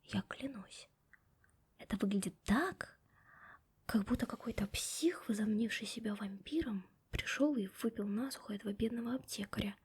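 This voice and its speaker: A young woman voicing a stern, sharp-tongued female office boss in an ASMR roleplay, whispering softly close to the mic. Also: medium pitch, moderate pace, slightly rough. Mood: hushed, secretive. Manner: scolding and sarcastic to staff.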